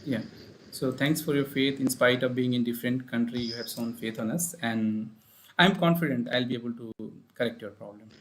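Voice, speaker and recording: A man with an Indian accent, a speech therapist hosting a live stream, speaking in a measured way with many fillers and repeated points. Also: medium pitch, low-quality recording. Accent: Indian English. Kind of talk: live-stream talk and advice. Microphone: online live stream.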